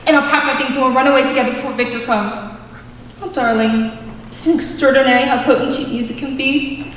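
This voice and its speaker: High-voiced flighty socialite played by young woman, echoey hall. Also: fairly even intonation, fairly quick, natural voice. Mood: haughty, gushing. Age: young adult. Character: flighty, haughty socialite lover in drawing-room comedy. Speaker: student stage actor. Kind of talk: theatrical stage comedy acting. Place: large indoor school auditorium, on stage. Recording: echoey auditorium, distant mic, clear noise.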